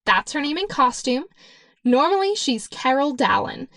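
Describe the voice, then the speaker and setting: Woman playing a brash young superheroine, high voice, taunting interrogator, audiobook drama, very little room sound. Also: sing-song, moderate pace, fairly bright tone, dry recording, heavily acted. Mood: taunting, threatening. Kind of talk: audiobook character acting.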